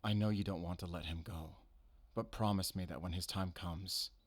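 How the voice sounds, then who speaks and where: A man acting in an audio roleplay as a guarded, middle-aged werewolf hunter, weary and stern yet gentle, speaking in a measured, earnest way. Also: low-pitched, steady tone, no room sound. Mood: calm, reassuring.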